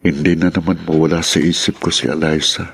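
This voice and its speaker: A man's voice: a bass voice, little pitch movement, a little fast, creaky, a bit bright, husky, heavily acted. A man playing an earnest, lovelorn and troubled man in a solemn, heartfelt Filipino radio drama style. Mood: yearning, sorrowful.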